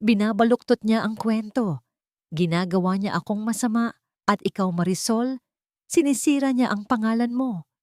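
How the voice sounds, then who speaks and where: Woman voicing a forceful, embattled billionaire man. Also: on the high side, normal speed, no room sound, clean studio sound, pitched above the performer's own voice, lightly acted. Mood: indignant. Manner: forceful, urgent. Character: determined, embattled billionaire man, commanding and indignant. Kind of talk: Tagalog audiobook drama.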